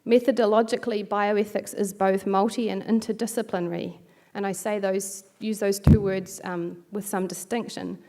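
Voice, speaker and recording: A woman's voice: mid-range voice, steady tone, normal speed, hardly any pauses, warm tone. A middle-aged woman from New Zealand with a New Zealand accent, giving a reflective academic keynote with light self-deprecating asides. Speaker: keynote speaker. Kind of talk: academic lecture.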